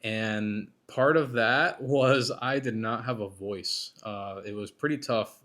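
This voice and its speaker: Hoarse low-voiced man, rambling podcast host. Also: slow pace, a bit bright. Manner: rambling, self-critical, many restarts and fillers. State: losing his voice, getting over being sick. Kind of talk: casual solo commentary between interview segments.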